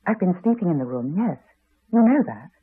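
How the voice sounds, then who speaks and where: Husky, reserved Englishwoman. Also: lowish voice, very animated, no echo, heavily acted. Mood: candid, tense. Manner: polite, measured, direct. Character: reserved, candid, unhappily married Englishwoman. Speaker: actress in a radio play. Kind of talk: 1980s British radio drama acting. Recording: slightly dull, dry old radio recording.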